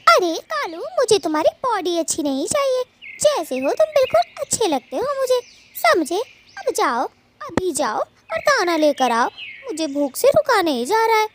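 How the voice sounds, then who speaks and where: A woman's voice: very high-pitched, sing-song, moderate pace, noisy background. A woman voicing an indignant married female bird in a Hindi cartoon story, scolding and nagging. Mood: nagging, demanding.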